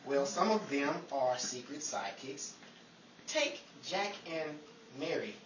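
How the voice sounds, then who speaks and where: A performer playing Henry, a bumbling, good-humored male 1950s radio sidekick with a halting, stumbling delivery. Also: mid-range voice, big pitch swings. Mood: halting, jovial. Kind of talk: imitation old-time radio banter. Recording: echoey, distant mic, crowd and loud noise.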